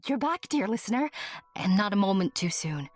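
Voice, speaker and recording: A woman voicing a talking cricket who narrates a fantasy audio drama, theatrically addressing the listener in a breathy voice. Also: mid-range voice, very animated, normal speed, no room sound. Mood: storytelling. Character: talking cricket storyteller, observer turned anxious helper. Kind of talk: scripted fairy-tale audio drama narration.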